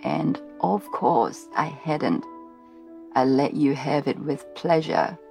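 A woman voicing a very old, calm and mysterious great-great-grandmother, speaking slowly and low. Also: gravelly, faint music behind, full character voice. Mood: serene. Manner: slow, low, measured.

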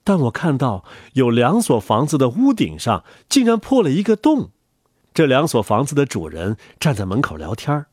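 A man reads a children's story aloud in a gentle, animated way. His voice is husky and its pitch moves a lot. The sound is dry and close, with soft music underneath. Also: normal speed, no room sound. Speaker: storyteller reading to children, the father. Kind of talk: expressive children's story reading.

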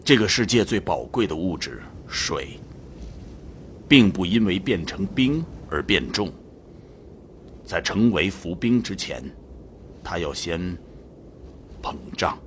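An actor playing a solemn, measured God in a radio drama, speaking deliberately. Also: male voice, sits low, expressive, a bit bright, a little hiss or hum, full character voice. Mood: solemn, calm. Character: solemn, measured male God shaping a planet.

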